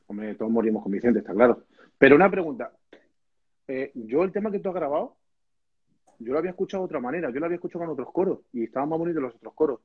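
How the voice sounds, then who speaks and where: A slightly husky man from banters on a home live stream. He teases his friend with mock-serious jabs and reads comments aloud. The audio is lo-fi and somewhat dull, as if through a phone or laptop. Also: mid-range voice, expressive, racing. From Madrid, Spain. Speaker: percussionist, live stream co-host. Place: indoors, small room at home. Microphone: phone or laptop camera, video call.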